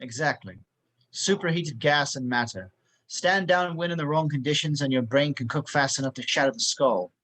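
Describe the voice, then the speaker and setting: A man playing a stern, dry-witted spy instructor in an amateur table read, crisp and matter-of-fact. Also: a little high, moderate pace, fairly bright tone.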